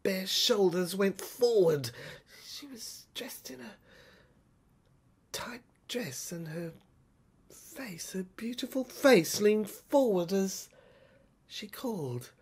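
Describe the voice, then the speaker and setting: A woman narrating a first-person audio story dramatically, halting and repeating phrases for effect. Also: mid-range voice, lively intonation, darkish tone, husky.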